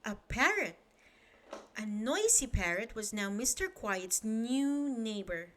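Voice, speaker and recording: A woman reading a children's story aloud at bedtime, lively and expressive, pausing to ask the listener questions. Her voice sits a little high and she takes an unhurried, slow pace. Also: slight background noise.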